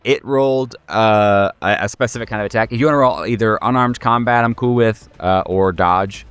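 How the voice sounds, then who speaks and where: A man's voice: lowish voice, moderate pace, fairly bright tone, clear, resonant tone, dry recording, soft background music. Casual, profane man running a horror game. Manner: profane, joking. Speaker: game master. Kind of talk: casual, improvised narration of a horror tabletop game.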